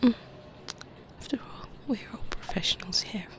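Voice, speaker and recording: A woman playing a softly sinister, polite specialist doctor in an ASMR roleplay, hesitant and insinuating, in a breathy whisper with murmured hums. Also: on the high side, moderate pace, natural voice. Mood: sly, reassuring.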